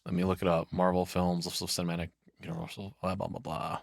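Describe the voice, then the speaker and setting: A man's voice: deep voice, completely flat delivery, fairly quick, vocal fry, dry recording, studio quality. A man giving casual reaction commentary as a streamer, rambling and opinionated, with some swearing.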